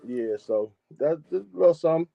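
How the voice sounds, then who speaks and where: A man from chats as a guest on a livestream. He is relaxed and loose, sometimes repeating his words before finishing a thought. His slightly raised voice comes through a muffled, dry video call. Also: a little high, fairly quick, creaky, no room sound. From Chicago, United States. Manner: laid-back, repeats and restarts phrases. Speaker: guest, music engineer. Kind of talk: casual interview over a live stream.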